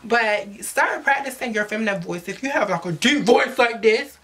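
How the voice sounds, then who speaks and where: A woman's voice: on the high side, lively intonation, moderate pace, fairly bright tone, slightly rough. A young woman, giving slangy, chatty advice to her viewers in a casual vlog, recorded indoors close to the camera. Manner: chatty, sassy, slangy, addresses viewers as sis. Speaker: vlogger. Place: indoors in front of a glittery backdrop. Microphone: camera at close range.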